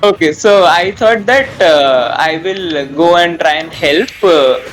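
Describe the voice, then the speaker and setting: A young man from India with an Indian accent telling rambling personal stories casually, in a halting, self-deprecating way. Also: fairly high pitch, a bit bright, soft background music, rough recording. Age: young adult. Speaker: storyteller.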